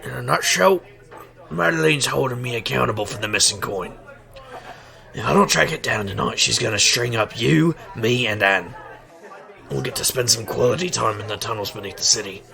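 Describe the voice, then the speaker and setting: Man playing a gravelly, wisecracking magician detective. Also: medium pitch, normal speed, sharp tone. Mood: wry. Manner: sardonic, wisecracking. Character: wry, stubborn male street magician private investigator. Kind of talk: audio drama acting and noir-like narration.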